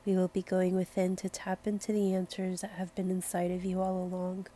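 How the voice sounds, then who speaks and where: A woman leading a guided meditation in a gentle, soothing, unhurried manner. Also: fairly low pitch, monotone. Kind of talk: slow guided meditation narration.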